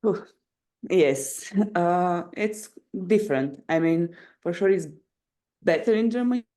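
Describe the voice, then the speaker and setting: A woman's voice: on the low side, lively intonation, takes it slowly, warm tone. A woman in her twenties speaks with a Romanian accent as a podcast guest. Her delivery is casual and conversational, with a hesitant way of building sentences as she looks for the right words in a second language. Her native language is Romanian. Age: 20s. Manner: hesitant, searching for words in non-native English. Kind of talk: casual interview answers.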